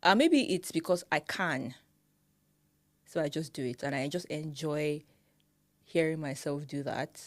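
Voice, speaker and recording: A young woman from Nigeria with a Nigerian accent, co-hosting a casual podcast. She talks in an animated way and laughs often. Her voice sits a little low, and the sound is close and dry. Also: unhurried, long pauses, dry recording. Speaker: podcast co-host. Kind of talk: casual chat.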